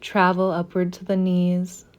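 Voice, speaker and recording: A woman leading a guided meditation in a soft, soothing, unhurried manner with gentle pauses. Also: lowish voice, monotone, lo-fi sound.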